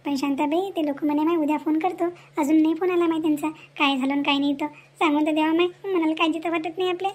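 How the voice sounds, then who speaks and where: Woman acting anxious cartoon mother, extremely high pitch, plaintive, aggrieved, pleading, Varhadi Marathi accent. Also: steady tone, quick pace, lightly acted. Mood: worried. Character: anxious, aggrieved village mother of a young daughter. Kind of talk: amateur cartoon melodrama.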